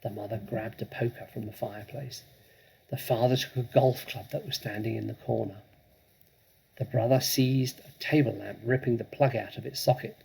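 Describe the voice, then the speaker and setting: A male storyteller with a very husky voice and plenty of gravel. He reads scripted children's fiction expressively, with dramatic delivery. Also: mid-range voice, fairly even intonation, moderate pace, a little mellow, low-quality recording.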